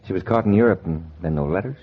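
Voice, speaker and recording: An actor playing a cocky, smooth-talking American schemer in a clipped, slangy 1940s radio style. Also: male voice, low voice, fast. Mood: sly, persuasive. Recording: muffled noisy 1940s radio recording.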